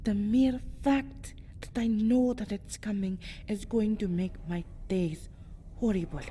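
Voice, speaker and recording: A woman playing a proud, distraught, centuries-old vampire girl in an ASMR roleplay, theatrical, moving between breathy whispers and agitated speech. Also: medium pitch, dark tone, a little hiss or hum, close to an everyday voice. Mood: dread, bitter. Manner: theatrical, often dropping into breathy whispers, swelling into agitated outbursts. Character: anguished two-hundred-year-old vampire girl, proud, fiercely protective, distraught. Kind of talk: ASMR roleplay monologue, melodramatic acting.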